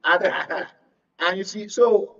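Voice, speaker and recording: A Nigerian man giving live political analysis as a guest, explaining in a halting, step-by-step way. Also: high voice, fairly bright tone. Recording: muffled, distant microphone.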